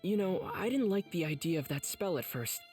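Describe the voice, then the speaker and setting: A man's voice: sits high, lively intonation, fairly quick, darkish tone, reverberant, in a large hall, soft background music. An actor playing an earnest, kind and determined teenage boy demon slayer in an anime game. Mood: gentle.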